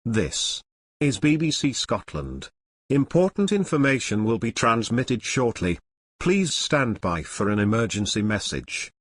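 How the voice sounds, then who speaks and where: Man reading emergency bulletin, formal script but pitch swings around a lot, dry sound. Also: big pitch swings, unhurried, dry recording. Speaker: emergency broadcast announcer.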